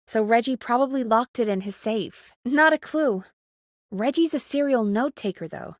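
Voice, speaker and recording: A woman's voice: fairly high pitch, no echo, studio quality, lightly acted. A woman acting as an earnest, anxious but capable young radio producer in a video game, talking in a natural, conversational way.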